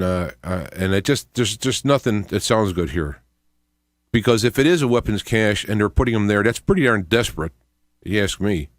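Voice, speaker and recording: A middle-aged to older man hosting a radio show, thinking aloud in a halting, unscripted monologue with repeated words. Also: lowish voice, no room sound, studio quality. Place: small indoor home studio. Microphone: close studio microphone with headphones.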